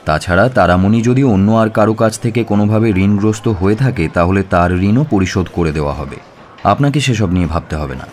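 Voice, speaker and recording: Man as a low-voiced, measured police inspector. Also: a little mellow, slight background noise, close to an everyday voice. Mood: firm, official. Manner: measured, deliberate storytelling. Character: measured, authoritative police inspector recounting a case. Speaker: narrator and lead actor. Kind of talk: dramatised audio story narration. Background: music.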